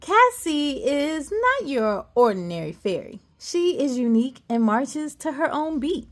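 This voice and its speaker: A woman reading a children's picture book aloud as a storyteller, playful and animated, with chatty asides to young listeners. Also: high-pitched, measured pace.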